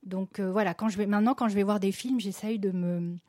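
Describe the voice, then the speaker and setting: A middle-aged woman answering interview questions about her work as a festival programmer, halting and full of restarts. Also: medium pitch, fairly even intonation, mellow, no room sound, studio quality. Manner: halting, many restarts and fillers. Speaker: festival general delegate.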